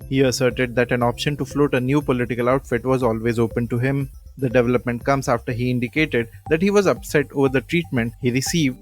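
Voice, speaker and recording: A man with an Indian accent presenting a scripted radio news bulletin. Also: medium pitch.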